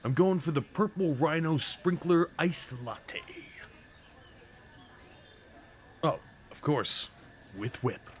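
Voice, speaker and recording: A man's voice: on the high side, expressive, unhurried, a little hiss or hum. A young man acting a shy, sweet, apologetic date in an ASMR roleplay, talking hesitantly to the listener. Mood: playful, teasing. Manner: hesitant, apologetic, rambling, sighs and nervous chuckles.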